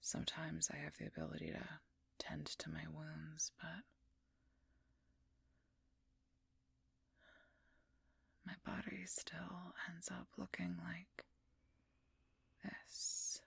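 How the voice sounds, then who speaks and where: A woman playing a wounded, protective female werewolf knight in an intimate audio roleplay, speaking in a breathy, husky, gravelly whisper. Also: deep voice, monotone, takes it slowly, fairly bright tone, dry recording, exaggerated voice. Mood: tired, guarded.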